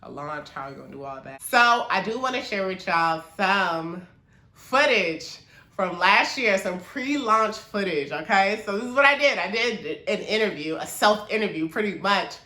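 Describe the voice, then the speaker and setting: A woman's voice: medium pitch, expressive, moderate pace, sharp tone, echoey room. A woman from Maryland, a small business owner, talking casually to camera in a chatty, encouraging way with lots of fillers.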